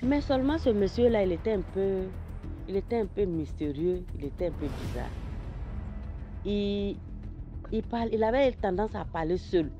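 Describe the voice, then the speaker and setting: A man from Ivory Coast with an Ivorian French accent, slowly telling a personal mystical story in a phone voice note and repeating phrases for listeners. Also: sits high, animated. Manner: slow, repetitive storytelling addressed to listeners. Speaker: caller sharing a story. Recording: dull voice note over loud music.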